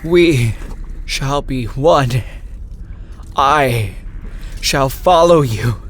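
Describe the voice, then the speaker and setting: A man voicing a fanatical, reverent heretic priest who declaims slowly and loudly in a horror story. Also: medium pitch, lively intonation, slight background noise, pitched above the performer's own voice. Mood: fervent reverence. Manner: slow, loud, declaiming. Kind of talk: dramatic horror story acting.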